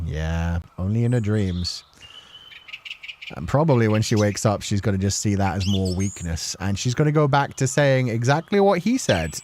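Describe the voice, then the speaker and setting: Deep-voiced man giving casual game commentary. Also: darkish tone, a little hiss or hum. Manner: informal, swearing, rambling analysis with acted-out paraphrases. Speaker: streamer commenting on a story game. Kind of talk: casual, emotional play-through commentary.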